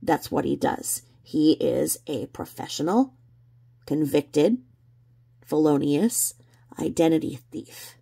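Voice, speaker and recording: A woman hosting a casual livestream commentary, explaining in a halting, repetitive, sardonic way. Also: deep voice, animated, gravelly, warm tone, slightly rough. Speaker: livestream host commenting on video clips.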